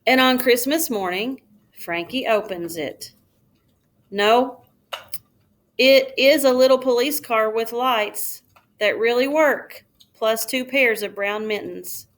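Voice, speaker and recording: A woman reading a children's storybook aloud like a schoolteacher, in a careful, expressive storytelling manner. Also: a little high, takes it slowly.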